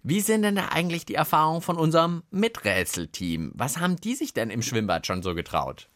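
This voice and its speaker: A man's voice: on the high side, big pitch swings, brisk, a bit bright, dry recording, clean studio sound. A man co-hosting a children's science podcast in playful, chatty banter.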